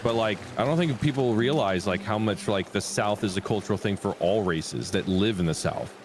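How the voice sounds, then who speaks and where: A man's voice: lowish voice, normal speed, noisy background. A man chatting casually with a friend during a game stream, laid-back and swearing offhandedly. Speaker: co-op gaming guest. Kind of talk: casual chat while gaming.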